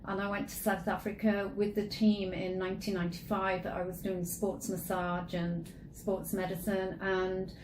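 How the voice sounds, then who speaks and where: A woman's voice: mid-range voice, flat delivery, moderate pace, echoey room, noisy background. A woman hosting an interview, asking questions and sharing stories in a chatty, enthusiastic way. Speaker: host. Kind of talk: conversational interview.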